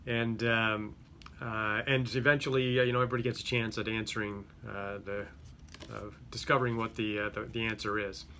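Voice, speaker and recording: A middle-aged man with a slight Greek accent talks casually straight to a close camera in a small office. His voice is bright and forward, and he keeps stopping to restart his sentences. A faint hiss sits under the recording. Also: lowish voice, level tone, brisk. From Greek-speaking home. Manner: chatty, many fillers and restarts. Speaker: company head presenting a product. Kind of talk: casual product unboxing. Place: small office with a yellow wall.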